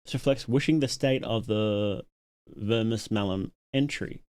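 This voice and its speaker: A man's voice: fairly low pitch, a little slow, darkish tone, clear, resonant tone. Casual man reacting on a livestream. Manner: muses aloud, reads viewer comments. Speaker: livestream host reacting to a horror series.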